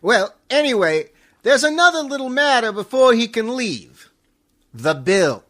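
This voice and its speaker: A man plays a wry old-radio jailer who takes his time. The voice is very high, sharp and bright. His pitch swings widely as he drawls out his official business. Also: big pitch swings, measured pace, exaggerated voice. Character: unhurried, wry jailer, a policeman. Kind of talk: 1940s radio comedy acting.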